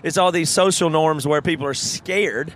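A man with a fairly high voice, talking in casual podcast chat. He is thoughtful and frank and often restarts his sentences while he works out an idea. Now and then a curse slips in. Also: moderate pace, a bit bright, slight background noise. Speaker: podcast co-host.

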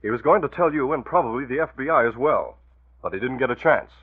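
Commanding radio vigilante hero, tinny vintage sound. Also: male voice, mid-range voice, expressive, fast, husky. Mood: genial, amused. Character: masked vigilante hero, commanding and cunning, also posing as genial gentleman. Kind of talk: 1940s radio drama acting. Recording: tinny, noisy old radio broadcast.

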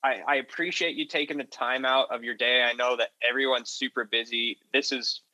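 Bright-voiced male podcast host, dry close sound. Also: medium pitch, steady tone, fairly quick, no echo, low-quality recording. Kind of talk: casual conversational podcast wrap-up.

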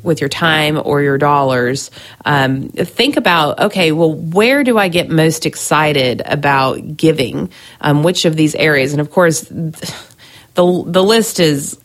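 Woman host, low voice, chatty solo podcast, recovering from a cold. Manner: chatty, frequent ums and restarts. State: recovering from a cold, scratchy throat. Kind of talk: casual solo podcast advice.

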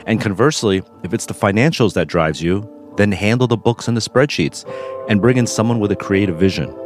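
Male podcast host, low voice, steady instructive read, music bed underneath. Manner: measured, instructive narration. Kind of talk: scripted narration and casual interview.

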